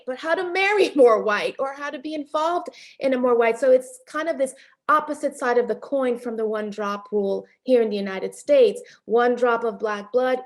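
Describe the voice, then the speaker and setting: A middle-aged woman with a fairly high voice talks through her ideas slowly and carefully. She circles back, stacks fillers and restarts as she searches for words, and lets a small laugh slip in now and then. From Panamanian and Haitian family background. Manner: thoughtful, many fillers and restarts, occasional laughs. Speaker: film director being interviewed. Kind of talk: reflective interview answers.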